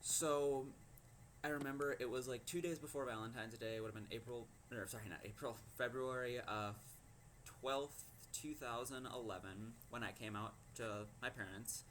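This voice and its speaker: A man's voice: normal speed, fairly bright tone, slight background noise. Hesitant teenage boy telling his story. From Minnesota. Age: teens. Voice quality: low voice. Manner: hesitant, many ums and likes. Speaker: teen vlogger. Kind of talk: personal story to camera.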